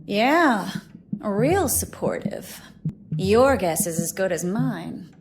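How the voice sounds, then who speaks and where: A woman playing a proud, overdramatic stage actress with a sharp tongue in a mystery game. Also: medium pitch, sing-song, slow pace, slight background noise. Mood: curt, peeved. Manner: theatrical, overdramatic. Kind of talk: scripted game dialogue.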